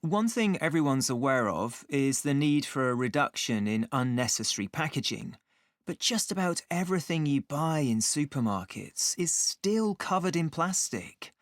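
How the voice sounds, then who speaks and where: A man playing an inquisitive student in a scripted, conversational discussion, with clear phrasing. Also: fairly high pitch, very animated, normal speed, no room sound, clean studio sound. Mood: earnest. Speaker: voice actor in a listening exam dialogue.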